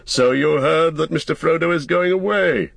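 A man voicing a wise old male wizard in a storybook, stern but fond, with an emphatic, commanding, deliberate manner. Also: a little high, vocal fry, a bit bright, dry recording, pitched above the performer's own voice. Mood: amused command.

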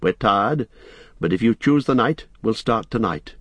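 A man voicing a calm, stern traveller who speaks with quiet, measured authority in an audiobook. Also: fairly low pitch, slightly rough, dry recording, heavily acted. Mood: calm command.

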